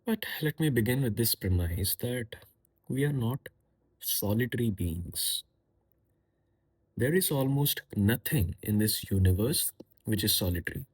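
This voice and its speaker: Husky low-voiced man musing on a podcast. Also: darkish tone. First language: a language other than English and Hindi. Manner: musing, unhurried, with pauses and fillers. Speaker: podcast host. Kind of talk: reflective solo podcast monologue.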